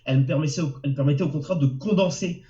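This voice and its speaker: French male novelist guest with a cold, halting delivery, a touch high, muffled lo-fi call audio. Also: mellow, roomy sound. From France. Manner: hesitant, many fillers and restarts. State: has a cold. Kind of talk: webinar interview answers. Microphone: video call.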